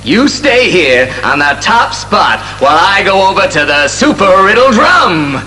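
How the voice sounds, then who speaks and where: Showy quiz emcee, hammy and patronizing, high voice, animated, music behind. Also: male voice, exaggerated voice, low-quality recording. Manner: hammy, drawn-out announcer shouts. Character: showy, patronizing male game show host. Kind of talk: audio drama acting as quiz show emcee.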